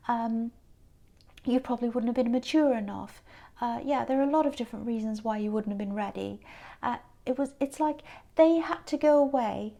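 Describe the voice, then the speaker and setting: A tired woman, giving a gentle, reassuring tarot reading that sometimes drops to a whisper. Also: on the high side. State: tired, croaky voice. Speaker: tarot reader.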